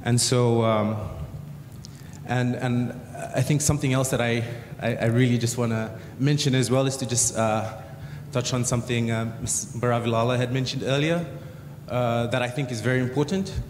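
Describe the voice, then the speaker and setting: Male panelist, halting delivery, roomy echo, long reverb. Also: medium pitch, fairly even intonation, a little mellow. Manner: hesitant, many uhs and repeated words. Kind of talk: conference panel answer. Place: large conference hall. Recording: echoey hall reverb, faint noise.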